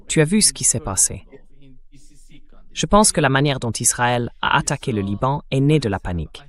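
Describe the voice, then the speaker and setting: A man hosting a conversational political discussion, in a synthetic translated dub. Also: mid-range voice. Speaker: interview host.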